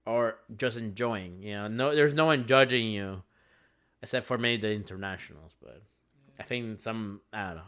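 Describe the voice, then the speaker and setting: Bright-voiced young man, hesitant. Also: on the low side, normal speed, clear, resonant tone. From Venezuela. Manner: hesitant, restarts often, reflective. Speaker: podcast co-host. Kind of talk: casual chat.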